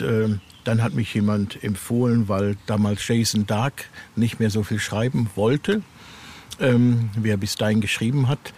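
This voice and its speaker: A middle-aged or older man giving conversational, halting interview answers as a horror author. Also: lowish voice, a little mellow. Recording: clear ambient background noise.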